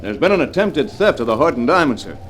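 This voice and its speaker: An actor playing an earnest male estate guard in a 1940s radio drama, brisk and polite. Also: mid-range voice, big pitch swings, a little hiss or hum, close to an everyday voice. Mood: urgent.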